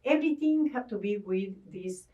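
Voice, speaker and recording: A woman's voice: mid-range voice, animated, normal speed, mellow, distant mic, highs cut off. A woman from Ecuador, a guest talking earnestly about spirituality, often stopping to search for words. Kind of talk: spiritual conversation.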